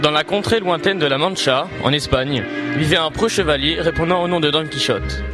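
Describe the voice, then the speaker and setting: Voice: mid-range voice, brisk, natural voice. A young performer acting as the narrator of a mock-heroic tale, in scripted storybook style. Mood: solemn. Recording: loud music over the voice.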